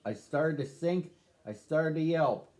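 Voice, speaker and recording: A man reading a rhyming picture book aloud to children, with a slow, sing-song rhythm. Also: on the high side, animated, darkish tone. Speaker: storybook reader.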